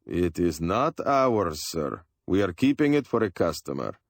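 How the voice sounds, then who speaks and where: A man's voice: sits low, very animated, a little slow, no room sound, studio quality, full character voice. A man voicing a stubborn older father who speaks in a firm, polite way. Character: stubborn older male rug repairer, a father.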